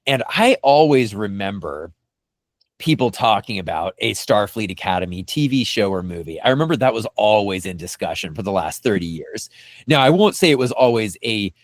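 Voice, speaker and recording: A man hosting a fan discussion podcast, talking in an animated, emphatic way. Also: fairly low pitch, moderate pace, no room sound, clean studio sound. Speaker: podcast host.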